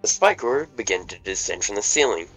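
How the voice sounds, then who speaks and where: A man's voice: on the low side, expressive, brisk, a bit bright, faint music behind, low-quality recording. A man narrating the stage directions of a casual fan table read over voice chat. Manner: plain read-aloud narration, joking between lines.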